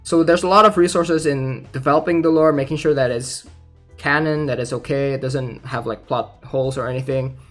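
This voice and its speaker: A young man giving casual, informal game news commentary as a YouTuber, thinking out loud in a hesitant way. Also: mid-range voice, steady tone, moderate pace. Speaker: gaming YouTuber. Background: music.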